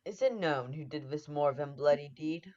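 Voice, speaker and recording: A man's voice: on the high side, normal speed, a little mellow, natural voice. A young man acting a wary, suspicious nobleman in a Shakespeare radio play, with a muffled sound. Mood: troubled. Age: young adult. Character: wary, suspicious Scottish nobleman, loyal in manner. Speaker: student actor.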